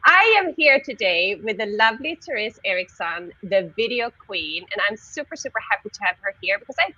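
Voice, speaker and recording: Swedish-accented woman, live-stream host, chatty, bright voice, muffled lo-fi sound. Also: mid-range voice, lively intonation, fairly quick. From Sweden. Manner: chatty, laughs often. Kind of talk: casual live-stream chat.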